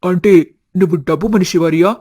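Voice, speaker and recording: A man's voice: high voice, brisk, mellow, husky, no echo. A man playing an earnest, lovestruck young man with firm principles in scripted cartoon story dialogue. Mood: hurt, pleading.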